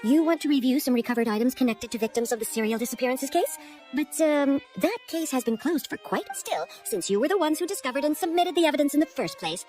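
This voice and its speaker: Casting: obliging young female clerk, high and brisk, courteous anime game delivery. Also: expressive, a little mellow, faint music behind. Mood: helpful.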